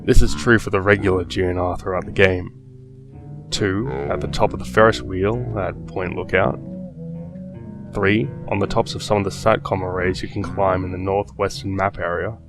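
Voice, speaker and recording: Low-voiced man narrating over guitar music. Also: fairly even intonation, normal speed. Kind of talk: scripted horror story narration.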